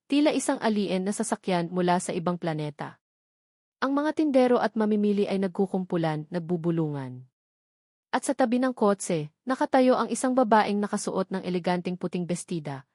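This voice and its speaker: A woman's voice: medium pitch, a little mellow, no echo, clean studio sound. A woman narrating a scripted dramatic story in a solemn, melodramatic way.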